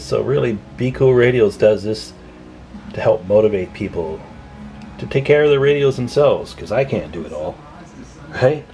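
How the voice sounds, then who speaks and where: A man's voice: fairly low pitch, darkish tone. A man talking in a folksy, chatty way as he gives a casual hands-on repair demonstration at an indoor workbench. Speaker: radio repair technician and video host.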